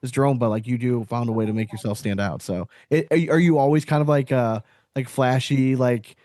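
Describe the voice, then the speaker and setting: A man's voice: mid-range voice, a little fast, a little mellow, no echo, studio quality. A man hosting a casual interview, chatty and informal, leaning on slangy filler phrases. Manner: chatty, repeats "like" and "you know what I mean". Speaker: podcast host.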